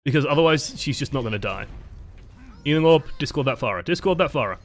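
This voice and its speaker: Casual young male coach, mid-pitch voice, unhurried, chatty, a bit sweary. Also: expressive. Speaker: game coach reviewing footage. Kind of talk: casual gameplay commentary.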